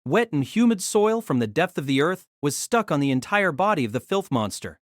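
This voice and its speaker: Expressive, higher-voiced male narrator. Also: brisk, dry recording, clean studio sound. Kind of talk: dramatic scripted narration of a fantasy novel.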